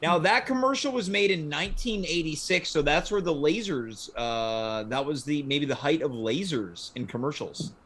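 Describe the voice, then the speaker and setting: A man's voice: fairly high pitch, expressive, moderate pace, few pauses, fairly bright tone. A man hosting a casual panel chat show. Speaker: talk show host.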